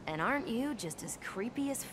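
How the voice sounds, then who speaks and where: A woman's voice: fairly high pitch, animated, a little fast, heavy background noise, close to an everyday voice. An actress playing a cocky, sarcastic young female soldier in a video game, flippant and snarky. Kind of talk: video game character dialogue.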